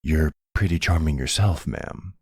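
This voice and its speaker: Casting: male actor as middle-aged mob boss for intimate audio roleplay, extremely low and husky, smooth talker with menace and courtly charm. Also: unhurried, dry recording, clean studio sound, heavily acted. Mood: charming, flirtatious. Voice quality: gravelly.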